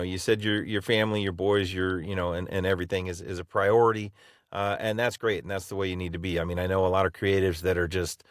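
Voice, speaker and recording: A middle-aged man with a very low voice co-hosting a podcast. He talks in a halting, encouraging way, pausing to find his words. The sound is dry and close. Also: dry recording. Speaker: podcast co-host. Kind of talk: casual podcast interview.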